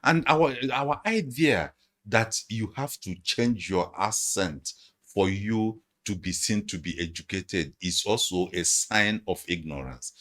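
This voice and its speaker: A man's voice: fairly low pitch, expressive, takes it slowly, fairly bright tone. A man, a guest analyst in a conversational interview, explaining emphatically and repeating his phrases.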